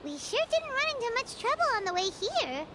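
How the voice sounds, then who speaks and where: Very high squeaky fairy sidekick. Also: female voice, very animated, fairly quick, heavy background noise. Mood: curious. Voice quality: squeaky, childlike. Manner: refers to self in third person. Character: tiny childlike floating fairy companion, chirpy and naive. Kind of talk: anime video game voice acting. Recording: game music behind the voice.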